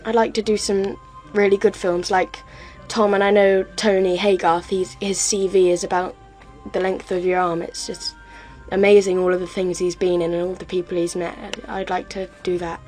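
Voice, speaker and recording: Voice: medium pitch, little pitch movement, normal speed, darkish tone, rough recording. Earnest young voice over music. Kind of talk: casual interview chat.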